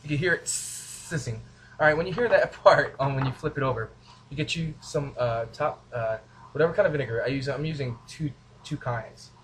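A man's voice: mid-range voice, brisk, fairly bright tone, slight background noise. A young man casually showing how he cooks in a small home kitchen, goofy and rambling as he talks to the camera from a few feet away. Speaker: home cooking vlogger. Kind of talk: casual cooking demo, joking asides. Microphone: camera mic from a few feet away.

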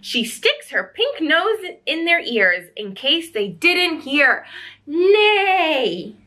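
A young woman reads a picture book to children in an expressive, wondering way. Her voice is bright and swoops with animation, and she takes her time, often pausing to ask questions. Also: sits high. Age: young adult. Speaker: children's library storyteller. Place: small indoor room.

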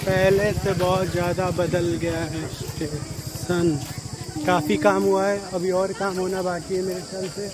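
A man narrates a casual travel vlog in halting, repetitive short phrases. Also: high voice, flat delivery. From Uttar Pradesh, India. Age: 20s. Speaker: travel vlogger. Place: outdoor railway platforms and inside a train. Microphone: phone at arm's length. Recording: loud railway station and train noise.

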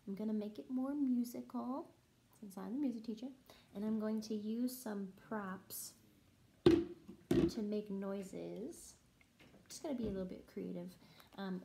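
A young woman, a music teacher, reading a children's picture book aloud in a rhythmic, sing-song, deliberate chant. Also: medium pitch, vocal fry, warm tone, a little hiss or hum. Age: young adult. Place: small room at home, indoors.

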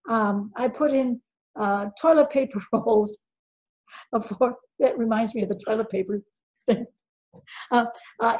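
An older woman with a somewhat high voice walks an online audience through sailing gear over a video call. She is emphatic, quizzes the listeners and repeats her key points for stress. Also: darkish tone, rough recording. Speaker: sailing instructor presenting a webinar. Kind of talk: webinar presentation with slides.

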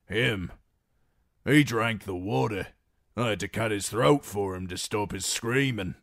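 A man reading an audiobook voices a rough, frightened male guard who shouts threats. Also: fairly low pitch, measured pace, dry recording, studio quality, pitched above the performer's own voice, exaggerated voice. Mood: wary.

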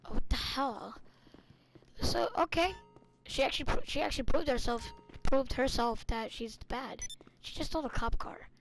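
High-pitched slangy young-sounding game roleplayer. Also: normal speed, a bit bright, faint music behind. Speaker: player in a crime roleplay game. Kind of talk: casual in-character roleplay in an online game. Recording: clearly noisy game audio with vehicle sounds. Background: game vehicle and street noise.

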